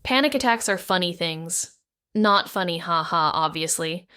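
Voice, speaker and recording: A woman's voice: on the low side, expressive, moderate pace, sharp tone, clean tone, no room sound, clean studio sound. A woman playing a wry, anxious, sweary amateur sleuth, narrating a noir audio drama in the first person. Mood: wry, tense.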